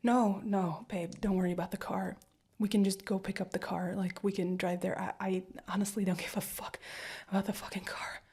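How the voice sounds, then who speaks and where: Woman voicing an anxious young girlfriend, breathy husky tone, stammering repeats, slips into whispers. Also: mid-range voice, fairly even intonation, normal speed, few pauses. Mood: shaken. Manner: rambling, stammering repeats, drops to hushed whispers. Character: anxious, protective young girlfriend, fiercely caring. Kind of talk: listener-directed audio roleplay.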